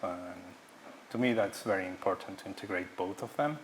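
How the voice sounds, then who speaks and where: Halting Ecuadorian-born man, low voice. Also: slight background noise, low-quality recording. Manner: halting, searching for words, many fillers. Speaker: guest artist. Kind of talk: public artist talk. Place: auditorium.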